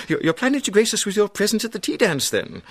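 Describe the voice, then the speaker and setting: A man's voice: high voice, sing-song, a little fast, no room sound, full character voice. An actor playing a stern, pompous, easily flustered male hotel manager in a radio drama. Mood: flustered politeness. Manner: pompous, officious, sputtering.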